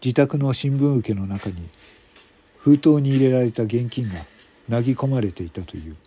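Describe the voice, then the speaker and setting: A man narrating a scripted mystery radio drama in measured, separate phrases. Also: medium pitch, warm tone, breathy edge.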